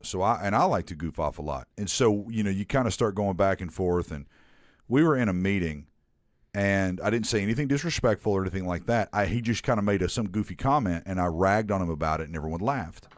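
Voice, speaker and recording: Casting: young male podcast host, lowish voice, dry close sound, loose joking storyteller. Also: animated, a little fast, dry recording. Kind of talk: casual podcast chat.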